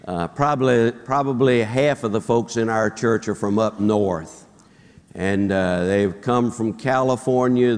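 Male Southern US preacher, informal and joking storyteller, medium pitch, big reverberant auditorium. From southern United States. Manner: folksy, joking, repeats phrases. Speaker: pastor, guest conference preacher. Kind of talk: informal, anecdotal sermon to an audience. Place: large auditorium before a congregation. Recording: reverberant large hall.

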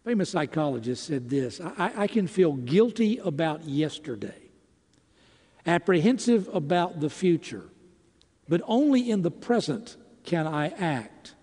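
Male preacher, measured and emphatic sermon delivery, short weighted phrases, long hall reverb. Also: fairly high pitch, very animated, darkish tone. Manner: deliberate, emphatic short phrases with dramatic pauses. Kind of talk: sermon with emphatic rhetorical questions and scripture.

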